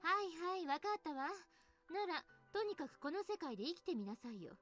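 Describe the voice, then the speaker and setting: A woman's voice: high-pitched, sing-song, rapid-fire. An actress playing a blunt, teasing, self-assured woman in a Japanese visual novel, with a dismissive manner. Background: game music.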